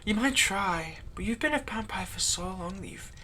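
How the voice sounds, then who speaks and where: A man playing a bitter, taunting former servant who has just become a vampire, speaking in a hushed, menacing way for a roleplay audio drama. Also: high voice, animated, moderate pace, a little hiss or hum, pitched above the performer's own voice. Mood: menacing, smug. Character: vengeful male former thrall, newly turned vampire, bitter and taunting.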